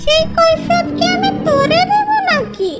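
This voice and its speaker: A woman voicing a feisty, boastful, sharp-tongued village housewife in a cartoon, with an East Bengali accent. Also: very high-pitched, lively intonation, moderate pace, over music. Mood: defiant boasting. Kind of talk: cartoon story dialogue.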